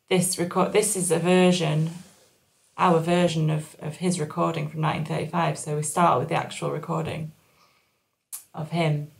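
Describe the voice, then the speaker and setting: A woman in her thirties, a folk musician, chatting casually and rambling about her album on a video call from her living room. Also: gravelly. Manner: chatty, rambling with fillers, trails off into low mutters. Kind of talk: casual chat at an album listening session. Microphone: webcam on a video call, wearing headphones. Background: album playing loudly.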